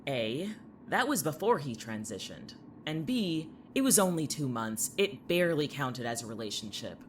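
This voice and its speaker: A man playing a jaded, defensive high school student in an audio drama, speaking in a blunt, sarcastic, irritable way. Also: very animated, moderate pace, slight background noise, close to an everyday voice. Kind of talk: audio fiction dialogue.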